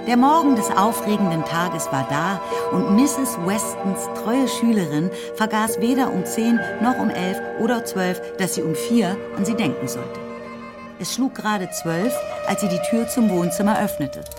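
A woman narrating a radio play in a measured, lightly ironic manner. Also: mid-range voice, expressive. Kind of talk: scripted literary narration. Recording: narration over music.

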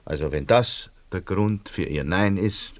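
An actor playing a nervous, self-justifying barber in a radio drama, rambling colloquially with a Viennese accent. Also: male voice, low-pitched, a little slow. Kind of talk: naturalistic radio drama dialogue.

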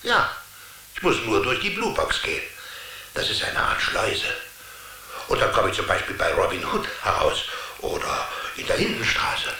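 An actor playing a touchy, talkative television character in a children's radio play, explaining things theatrically. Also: male voice, fairly low pitch, huge pitch swings, moderate pace, gravelly, pushed and bright, reverberant, slight background noise, full character voice. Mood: chatty, boastful. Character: touchy, talkative male TV character living inside the screen.

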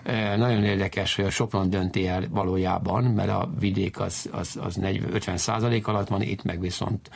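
A man explaining history informally in an interview, emphatic and digressive, often repeating his key points, with a gravelly, husky voice. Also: low voice, fairly even intonation, hardly any pauses, slight background noise.

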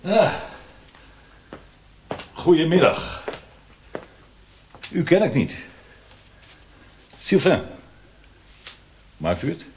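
A man's voice: a little high, sing-song, drawn-out words, long pauses, darkish tone, full character voice. An actor in a radio drama playing a fat, red-faced colonial man who is blunt, grumbling and mocking. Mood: hearty, blustering. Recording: faint background noise and clicks.

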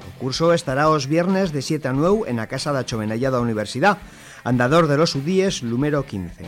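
A man's voice: mid-range voice, lively intonation, brisk. Male presenter over faint music. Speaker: radio presenter. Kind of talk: scripted announcements read aloud.

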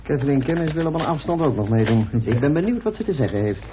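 A man's voice: medium pitch, big pitch swings, fast, natural voice. Man playing an eager curious sleuth, tinny sound. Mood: curious, teasing. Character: eager, curious, teasing male amateur sleuth. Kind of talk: Dutch radio drama acting. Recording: noisy, tinny old radio recording.

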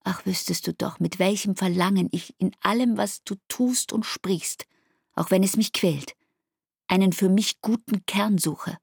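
Radio drama: hurt young woman in love. Intimate, reproachful, breathy with some fry, recorded dry. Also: medium pitch, a little slow, darkish tone, no echo. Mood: pleading, anguished. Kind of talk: literary radio drama acting.

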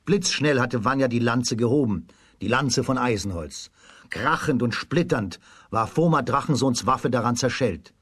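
A man narrating a scripted fairy tale for a children's radio play in a measured storytelling manner. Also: medium pitch, lively intonation, fairly bright tone, slight background noise.

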